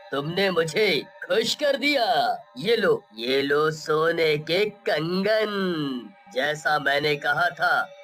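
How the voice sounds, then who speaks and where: A greedy male graveyard ghost from a horror-comedy cartoon, played by a woman. The voice is sharp and forceful, stretching words and hums slowly over faint music. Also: low-pitched, heavily acted. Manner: drawn-out hums and gloating laughs. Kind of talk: animated horror-comedy storytelling.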